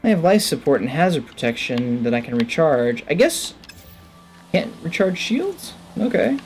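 A man's voice: fairly high pitch, very animated, normal speed, darkish tone, faint music behind. A man in his thirties giving casual, enthusiastic let's play commentary, musing to himself as he plays. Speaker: gaming video host. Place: indoor room at a desk.

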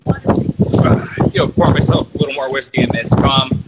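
A man in his thirties, co-host of a whiskey review show, chatting in a laid-back, halting way from a moving boat, phone held at arm's length. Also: mid-range voice, heavy background noise. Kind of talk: casual tasting chat.

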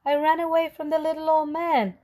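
A woman's voice: sits high, level tone, a little fast, mellow, pitched above the performer's own voice, lightly acted. A woman voicing a cheeky, boastful little gingerbread man in a sing-song storybook read-aloud, with an odd little voice. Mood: gleeful taunting. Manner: sing-song chanting, taunting.

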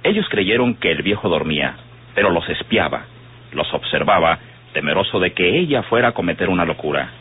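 An actor narrating a solemn western radio serial with a Mexican accent. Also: male voice, deep voice, lively intonation, a little fast, husky. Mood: solemn, dramatic. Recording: dull vintage radio, music behind.

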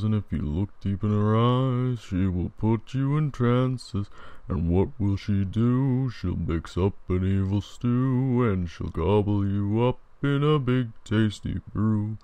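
Slow, sing-song ominous rhymer played by a man. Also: fairly low pitch, clear, resonant tone, dry recording, dull audio, voice pushed down.

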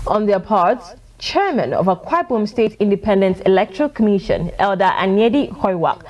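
A woman's voice: mid-range voice, animated, moderate pace, darkish tone, dull audio. Nigerian female news anchor. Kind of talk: scripted news reading. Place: TV news studio.

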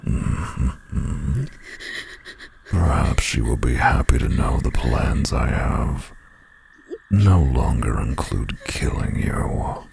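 An actor playing a menacing, sadistic male werewolf pack leader in an audio roleplay, with a breathy growl and a taunting, mock-polite manner. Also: lively intonation, slow pace, whispered, full character voice. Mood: gloating cruelty. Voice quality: very deep gravelly growl, often breathy. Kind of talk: dramatic audio roleplay acting.

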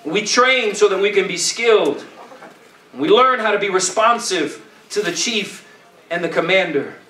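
A man's voice: high voice, expressive, a little slow, pushed and bright, slight background noise. A middle-aged man preaching a sermon in colloquial, repetitive phrases, exhorting his congregation and punctuating points with "amen?". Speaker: pastor preaching. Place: church stage, indoors. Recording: echoey church, distant camera pickup.

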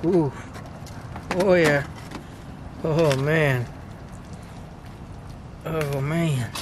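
Excitable man in a windy tent. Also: fairly high pitch, very slow. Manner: excitable, exclaiming, joking. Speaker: camping vlogger. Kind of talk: casual solo vlog narration. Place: inside a tent in windy woods at night. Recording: wind and outdoor noise behind.

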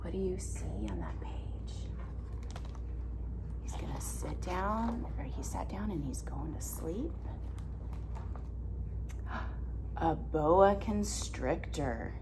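A woman in her thirties reading a picture book aloud as a teacher, deliberate and expressive, explaining simply. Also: low voice, noisy background. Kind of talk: children's read-aloud with simple questions. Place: classroom, indoors.

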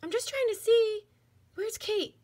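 A woman voicing an eager, excitable little girl doll in a storybook, breathless and exclaiming. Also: very high voice, a little slow, pitched above the performer's own voice. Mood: impatient, eager.

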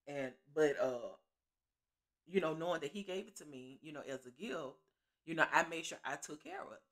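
A middle-aged woman telling a story in her living room in a sassy, animated, preachy way, laughing often, into a webcam. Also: sits low. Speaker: storytelling vlogger. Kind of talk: casual storytime vlog. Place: living room at home, seated on a couch.

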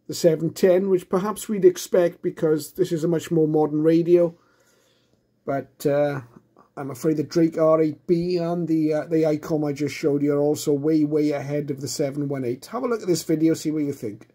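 A man hosting a hobby video, talking casually through a demonstration in a quiet room at home. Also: on the high side. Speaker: radio hobbyist host. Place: quiet indoor room, radio shack.

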